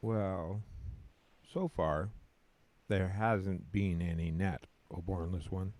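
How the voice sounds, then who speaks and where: A man playing a patient, deadpan companion to an immortal, in a measured scripted audio drama style. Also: deep voice.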